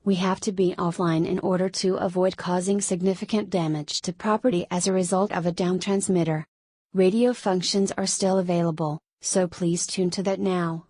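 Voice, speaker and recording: A woman playing a radio station newsreader, delivering a scripted emergency bulletin in a formal, measured way. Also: fairly low pitch, fairly even intonation, darkish tone, slightly rough. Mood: serious. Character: female radio station newsreader reporting on city violence. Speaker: emergency broadcast news announcer.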